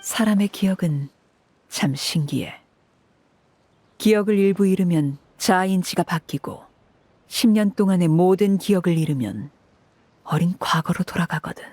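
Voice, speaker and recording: Brief: woman playing a stern, cold matriarch called Father, low husky voice, calm and commanding. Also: natural voice. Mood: explaining. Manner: cool, measured, commanding. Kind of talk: game voice acting.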